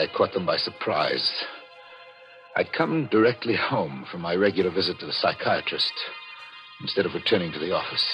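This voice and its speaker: A deep-voiced, suspicious husband played by a male actor narrates with brooding intensity in a vintage radio thriller. His delivery is theatrical and tightly wound, with music prominent behind him on the old broadcast. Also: lo-fi sound, lightly acted. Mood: brooding suspicion. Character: suspicious, jealous husband recounting his plot to murder. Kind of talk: 1940s radio thriller acting with first-person narration.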